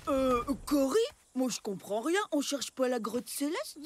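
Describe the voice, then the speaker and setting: A woman's voice: sits high, lively intonation, unhurried. A woman voicing a touchy, jumpy, slightly dim prehistoric kid in a French cartoon. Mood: confused. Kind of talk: French animated cartoon dub. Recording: very loud music over the voice.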